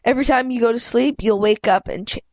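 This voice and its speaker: Woman reading as soft, gentle policewoman. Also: a little high, quick pace, dry recording, dull audio, lightly acted. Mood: regretful. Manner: gentle, soothing, explanatory. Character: soft-spoken, calmly firm female reality police officer.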